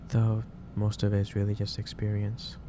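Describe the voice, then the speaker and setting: A man doing a soft ASMR roleplay as a gentle, caring office boss, speaking hesitantly with pauses mid-sentence as he gives advice. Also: on the low side, completely flat delivery. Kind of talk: soft ASMR roleplay, improvised monologue to the listener. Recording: clearly noisy background ambience.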